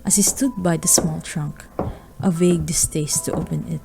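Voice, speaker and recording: A woman's voice: sits low, fairly even intonation, normal speed, creaky, over music. A woman narrating a radio drama, reading a scripted story.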